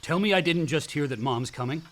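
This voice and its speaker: A man's voice: a little high, brisk, a bit bright, slight background noise, natural voice. A man acting a bitter, hot-tempered young adult son in a naturalistic radio play, blunt and sarcastic. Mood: angry.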